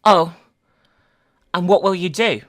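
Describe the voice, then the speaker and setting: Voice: mid-range voice, slow, fairly bright tone. A narrator voicing a cocky twelve-year-old boy bully who taunts with mock-polite menace. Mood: menacing.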